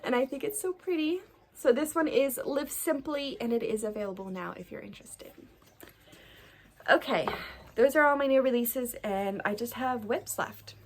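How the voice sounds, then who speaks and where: A woman's voice: a little high, animated, normal speed, a little hiss or hum. A young woman with a stuffed-up nose from allergies, chatting casually to camera as she shows her cross-stitch designs, with lots of ums and small laughs. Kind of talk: casual show-and-tell chat to camera.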